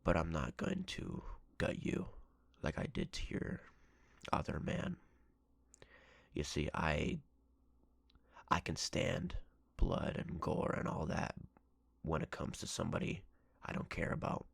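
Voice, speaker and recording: Man acting a very deep, gravelly obsessive ex-boyfriend. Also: wildly swooping pitch, dry recording, studio quality, heavily acted. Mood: sinister, teasing. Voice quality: gravelly, husky, breathy. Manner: slow, deliberate, threatening, possessive. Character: menacing obsessive yandere ex-boyfriend, a jealous captor. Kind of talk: ASMR roleplay acting.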